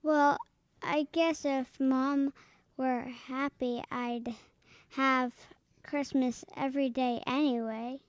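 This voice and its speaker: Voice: high-pitched, flat delivery, takes it slowly, smooth, dry recording, lo-fi sound. A performer playing a sweet, eager little girl in a radio drama. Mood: earnest.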